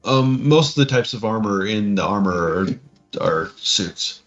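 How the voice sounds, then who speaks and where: A man co-hosting a casual tabletop gaming discussion, explaining things in a hesitant, halting way. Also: fairly low pitch, animated. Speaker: podcast co-host. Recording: muffled lo-fi mic, faint music.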